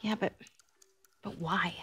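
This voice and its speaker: A woman's voice: lowish voice, fairly even intonation, takes it slowly, fairly bright tone, slightly rough, heavily acted. An actress playing a bold, curious, playful young woman investigator in a naturalistic video game. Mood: playful, eager. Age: young adult.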